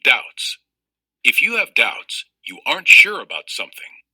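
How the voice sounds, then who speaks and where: A man reading a children's story aloud as narrator, with careful, expressive, explanatory delivery. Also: medium pitch, measured pace, slightly rough, no room sound, low-quality recording.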